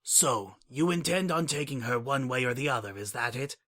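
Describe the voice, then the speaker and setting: Brief: a man playing a cool, formal pony official in a storybook reading, measured, softer and even. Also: medium pitch, lightly acted. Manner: formal, measured and legalistic, refers to self as "this one". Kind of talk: fan dramatic audiobook reading.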